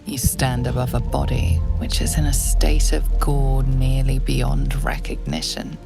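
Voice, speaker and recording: A brooding female storyteller for a grim fantasy game. Her voice is extremely low with a gravelly creak, and she delivers ornate lines slowly and ominously over music. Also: sing-song, full character voice. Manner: deliberate, ominous, literary phrasing. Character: dark, brooding narrator of a grim fantasy tale, woman. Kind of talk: scripted dramatic second-person game narration. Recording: music behind the voice.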